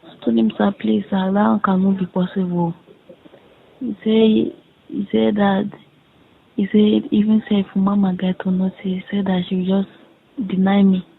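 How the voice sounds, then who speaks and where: A young woman with a Nigerian accent speaks on a muffled, lo-fi phone recording. Her delivery stays fairly flat, with a gravelly creak in it. She tells things haltingly, circling back and repeating herself as she relays reported dialogue. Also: medium pitch. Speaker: caller in a private phone conversation. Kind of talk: casual recounting of a conversation.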